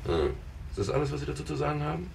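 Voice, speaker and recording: An actor playing a middle-aged, world-weary private detective in a German radio crime drama, narrating and talking in a dry, wry, musing way. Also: male voice, on the high side, sing-song, racing, creaky, darkish tone, slight background noise, full character voice. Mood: urgent.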